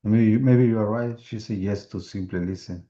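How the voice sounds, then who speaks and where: A man's voice: lowish voice, fairly even intonation, normal speed, dark tone, dull audio. A man, a pastor and chaplain in a peer group, who searches for his words and rephrases as he speaks. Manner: searching, repeats and rephrases as he goes. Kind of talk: group discussion.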